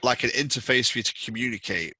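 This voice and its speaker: A man's voice: mid-range voice, quick pace, pushed and bright, husky, no echo, rough recording. A man narrating a tabletop roleplaying game as game master, setting out information and scenes for the players.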